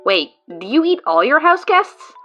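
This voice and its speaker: A woman's voice: high-pitched, big pitch swings, normal speed, pushed and bright, muffled recording, faint music behind, full character voice. A woman voicing a sarcastic, exasperated female guide who swears often, in a fan audio drama.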